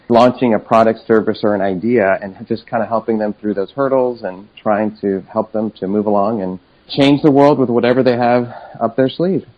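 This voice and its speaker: A man in his forties, from Chile, talks as a guest in an upbeat, enthusiastic way, with a few fillers. His voice sits on the low side. It comes through a dull, muffled remote recording. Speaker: career coach guest. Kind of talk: interview conversation.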